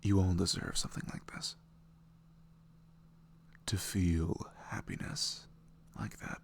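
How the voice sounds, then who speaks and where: A man with a very deep voice and some fry speaks in a hushed, gentle ASMR style. He reassures his listeners softly. There is an audible hum in the recording. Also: fairly even intonation, slow. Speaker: audio roleplay creator. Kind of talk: soft ASMR message to listeners.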